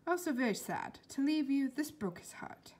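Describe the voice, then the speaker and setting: A woman voicing an elderly foreign woman doctor in a storybook, warm and philosophical, with emphatic, oddly formal phrasing. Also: high voice, sing-song, normal speed, voice pushed up. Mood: earnest. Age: older.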